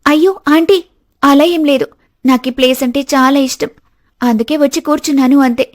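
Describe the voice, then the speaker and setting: A woman storyteller puts on a chatty young woman with a high, lifted voice. She is eager and helpful, as in a cartoon moral story. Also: normal speed, natural voice. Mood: bright, friendly. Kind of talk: animated moral story dialogue.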